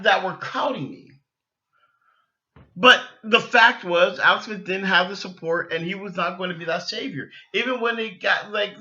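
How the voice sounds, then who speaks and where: A man with a fairly high, very bright and forceful voice talks casually as an opinionated sports fan. He rambles, doubling back on phrases as he goes. The recording is slightly dull and lo-fi. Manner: rambling, repeats and restarts phrases. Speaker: sports podcast host.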